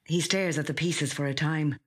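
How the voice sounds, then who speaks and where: An actor with a slightly husky, breathy voice reading a literary short story in a measured, hushed way. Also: medium pitch, fairly even intonation. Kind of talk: scripted literary narration.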